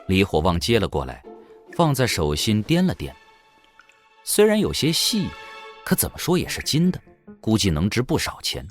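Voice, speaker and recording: A man's voice: on the low side, wildly swooping pitch, normal speed, long pauses, faint music behind. A man reading scripted, dramatic narration for a fantasy audio drama.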